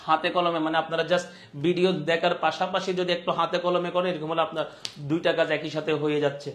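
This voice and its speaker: A man's voice: fairly high pitch, little pitch movement, fast talker, a bit bright. A man with a Bangladeshi accent teaching a live online class, repeating his points and often checking that students follow.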